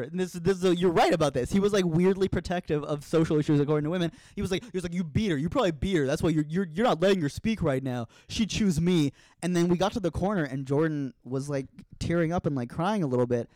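A young man with a high-pitched voice, an animated comedian telling stories and laughing as a podcast guest. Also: dry recording. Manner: animated storyteller, laughs often. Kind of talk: casual podcast chat.

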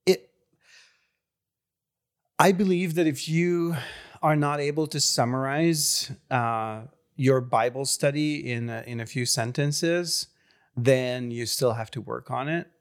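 Slow, hesitant Hungarian-accented man. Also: medium pitch, smooth. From Serbia. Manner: halting, many fillers and restarts. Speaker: pastor. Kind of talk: interview conversation.

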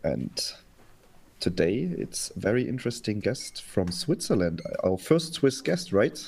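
Male co-host, low voice, friendly, a little stumbly. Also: very animated, unhurried, gravelly, a little mellow. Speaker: podcast co-host. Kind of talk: casual podcast chat.

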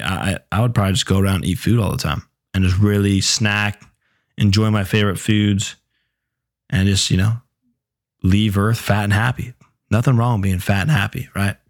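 A young man talks loosely into his podcast mic, laid-back and easy, swearing now and then. His voice sits a little low and sounds hoarse and worn, as if his throat is giving out. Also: moderate pace. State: sore throat, losing voice. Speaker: podcast host. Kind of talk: casual solo podcast chat.

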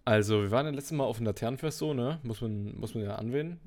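A man's voice: medium pitch, fast, darkish tone. A nineteen-year-old man co-hosting a podcast, chatting casually in laid-back slangy talk. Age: turning 19. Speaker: podcast co-host. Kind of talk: casual chat.

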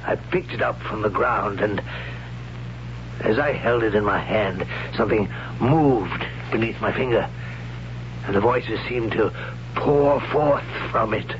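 A man playing a hushed, awestruck young wanderer. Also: lowish voice, natural voice. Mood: awed. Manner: hesitant, halting, hushed. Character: awed young man who visited a haunted ruin. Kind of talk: 1970s radio drama acting. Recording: dull lo-fi old radio broadcast with hiss.

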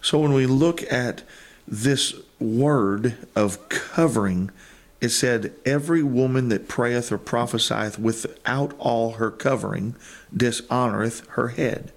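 A man, a holiness preacher, teaching on a Bible passage and explaining word meanings in a deliberate way. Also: medium pitch, creaky. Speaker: holiness preacher on another podcast. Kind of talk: teaching scripture on a podcast.

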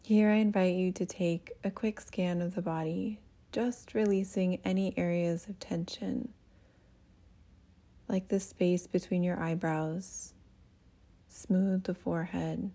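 A woman leading a guided meditation as a teacher, speaking in a gentle, soothing manner with breathy phrase endings. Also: fairly low pitch, fairly even intonation, slow pace.

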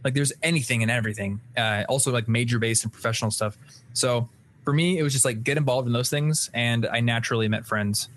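A man's voice: medium pitch, fast talker, slight background noise. A young man talks in a loose, candid way on a live stream, with the odd swear word thrown in. He has an ordinary mid-range voice and an easygoing manner. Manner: relaxed, candid, casual swearing. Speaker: podcast host. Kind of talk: casual live-stream chat.

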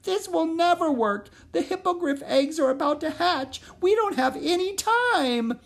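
A woman's voice: very high voice, animated, unhurried, vocal fry, pitched above the performer's own voice, heavily acted. A woman reading a storybook aloud, voicing a tearful little frog who wails in panic. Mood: despairing. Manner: wailing, panicky.

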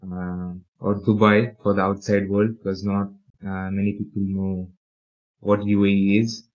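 A man's voice: fairly low pitch, level tone, measured pace. A young man from the UAE, talking casually about his life in an interview, hesitant with many fillers. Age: young adult. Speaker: podcast co-host and interviewee. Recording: dull lo-fi audio.

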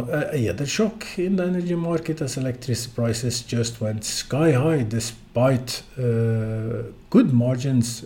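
A man with a Norwegian accent and a soft-edged, mellow voice hosts a financial podcast. His pitch sits in the middle of the male range. He talks through market commentary in halting phrases, often pausing on filler sounds. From Norway. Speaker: podcast host.

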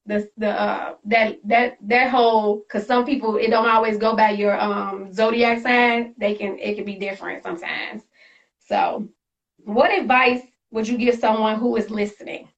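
A woman's voice: a little high, highs cut off. A woman hosting a casual, hyped-up interview, with a bubbly, slangy, reactive way of talking. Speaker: host interviewer. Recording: distant mic with music behind.

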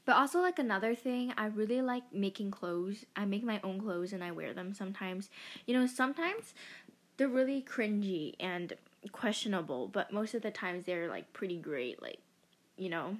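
A woman's voice: mid-range voice, animated, normal speed. A teenage girl hosting her first podcast, chatting in a casual, self-mocking way and often giggling. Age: teens. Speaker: podcast host. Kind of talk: casual chat.

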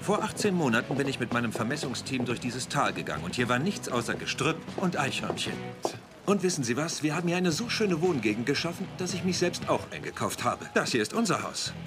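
A man's voice: medium pitch, very animated, a little fast, music in the background, natural voice. A man acting a jovial property developer with hearty salesman patter in a dubbed German TV drama. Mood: hearty, welcoming.

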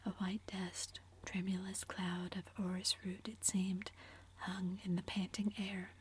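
A woman narrating a classic novel entirely in a soft, unvoiced whisper. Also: mid-range voice, little pitch movement, unhurried, slight background noise. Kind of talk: whispered audiobook reading.

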